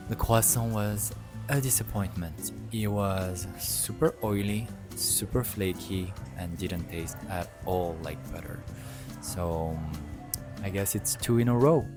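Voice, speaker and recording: A young man with a French accent chats through a casual food vlog, wandering off with fillers and little self-corrections. His voice sits low and deep. Clear music plays behind him the whole time. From France. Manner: chatty, rambling, with many fillers and self-corrections. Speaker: food vlogger. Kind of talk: casual travel vlog narration.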